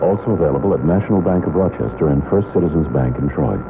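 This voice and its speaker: A man's voice: rumbling low, lively intonation, quick pace. A man reading a scripted tag at the end of a radio commercial. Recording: tinny old radio, music behind.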